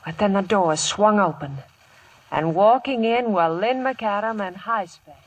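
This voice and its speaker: An actress playing a world-weary saloon singer who also narrates a 1950s radio western. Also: female voice, medium pitch, expressive, unhurried, highs cut off. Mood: tense narration.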